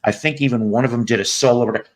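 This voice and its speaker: A man with a raspy, hoarse voice hosting a music podcast, joking and rambling through tangents in casual chat. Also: mid-range voice, steady tone, rapid-fire. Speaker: podcast host.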